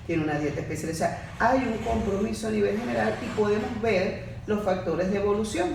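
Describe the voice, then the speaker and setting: A woman, a facilitator explaining child development in a casual panel talk, full of restarts and lively examples. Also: lowish voice, creaky, slight background noise. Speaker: diploma facilitator, podcast guest. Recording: echoey room, far from mic.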